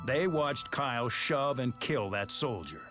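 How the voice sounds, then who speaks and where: An actor playing a sardonic, mock-polite police detective in a video game, smug and theatrical. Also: male voice, medium pitch, lively intonation, a little slow, over music, lightly acted. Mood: smug, taunting. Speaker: detective character. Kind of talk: English video game dub acting.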